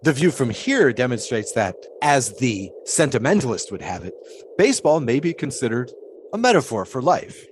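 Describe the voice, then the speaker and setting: An actor playing an eccentric, cryptic pole sitter who talks in dreamy, teasing riddles. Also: male voice, medium pitch, very animated, moderate pace, a little hiss or hum, close to an everyday voice. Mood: musing. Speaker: pole sitter Shipwreck Kelly. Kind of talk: audio drama acting.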